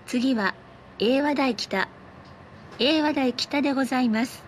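A woman reading scripted bus announcements in a polite, formal, measured way. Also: high voice, slight background noise.

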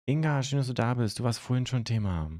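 A young man from Germany hosting a live stream, chatting casually and haltingly as he answers viewer comments into a close microphone. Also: medium pitch, a little mellow, no echo. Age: young adult. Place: indoor streaming room at home. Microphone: close microphone on a stand.

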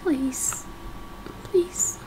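A woman's voice: extremely slow, background noise. A woman voicing a frightened young boy in an amateur game roleplay, hesitant, stammering and pleading. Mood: panicked, desperate.

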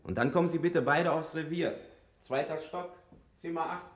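An actor playing a polite, businesslike plainclothes police detective in a 1960s German radio play. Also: male voice, on the high side, normal speed, roomy sound, background noise, lightly acted. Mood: courteous, firm. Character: smooth, courteous male plainclothes police detective. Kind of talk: German radio play acting around 1960.

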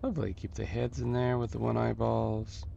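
A man's voice: mid-range voice, level tone, normal speed, warm tone, lo-fi sound. A man giving casual, improvised gameplay commentary, muttering playfully to himself. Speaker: gameplay host. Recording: clear background noise.

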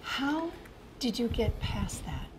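A woman's voice: fairly high pitch, sing-song, slow, a little hiss or hum, low-quality recording. An older woman hosting a TV news studio interview, asking emphatic leading questions. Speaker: TV news host.

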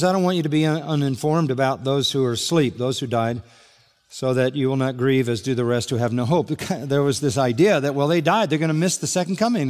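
A man's voice: medium pitch, darkish tone. Older male preacher in a reverberant hall. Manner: earnest preaching, reading scripture aloud with emphatic rises. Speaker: pastor. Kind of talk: expository sermon. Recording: large hall with long reverb.

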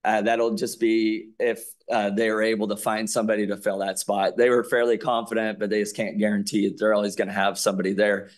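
Flat-voiced low man at a board meeting. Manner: flat, halting, many fillers. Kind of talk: reporting at a school board meeting.

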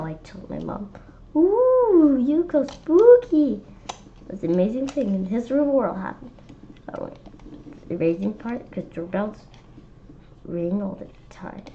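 A woman reading a children's book aloud slowly, stumbling and restarting, with lively voices for the characters. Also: mid-range voice, slight background noise. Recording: slightly dull lo-fi sound.

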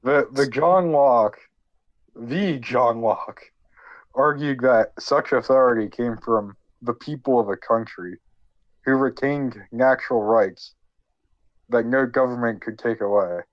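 A man's voice: medium pitch, a little slow, dry recording. Sick-sounding man, slightly muffled podcast audio. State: sick with a cold. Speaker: podcast co-host. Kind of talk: informal explainer talk on history.

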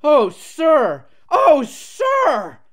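Narrator doing an excitable, high-pitched little engine. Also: slow pace, exaggerated voice. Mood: ecstatic. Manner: pleading, gushing, singsong. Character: excitable, anxious, childlike young male little engine. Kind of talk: children's storybook character voice.

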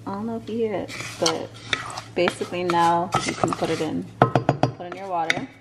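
A woman's voice: fairly low pitch, moderate pace, very noisy. A young woman filming a casual cooking tutorial in her home kitchen, talking slangy and chatty to her viewers and calling them y'all. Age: young adult. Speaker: cooking vlogger. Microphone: camera on the counter and handheld.

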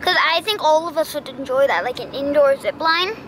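A woman chatting excitably and bluntly with her family on a day trip. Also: sits high, a little fast. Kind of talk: casual family chat. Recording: noisy handheld camera audio.

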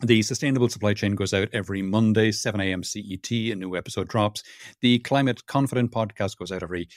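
A man's voice: fairly low pitch, fast, dry recording, clean studio sound. A man from Cork with an Irish accent, a guest chatting casually and self-deprecatingly, often restarting his sentences. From Ireland. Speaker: podcast guest, sustainability consultant. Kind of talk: casual interview talk.

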